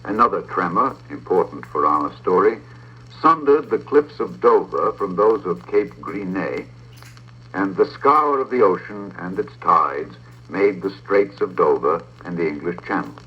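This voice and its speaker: A man, middle-aged, narrating a script in a measured, formal way with precise diction, on an old talking-book recording. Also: low-pitched, animated, husky, muffled recording. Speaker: audiobook narrator and presenter.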